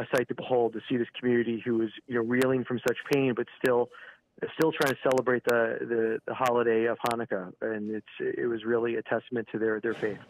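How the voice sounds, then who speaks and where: A man giving a live news interview by phone, halting and repeating words, often saying "you know". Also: medium pitch. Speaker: regional director of an advocacy organisation, interview guest by phone. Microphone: telephone. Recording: phone call with clear background noise.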